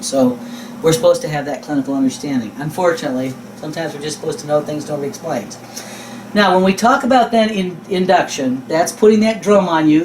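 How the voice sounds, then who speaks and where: Male lecturer, higher-pitched voice, folksy and emphatic, quizzing as he teaches. Roomy, distant-mic capture. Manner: folksy, informal, emphatic repetition of key words. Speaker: instructor. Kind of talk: conversational teaching lecture with rhetorical questions. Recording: roomy, distant mic, faint background noise.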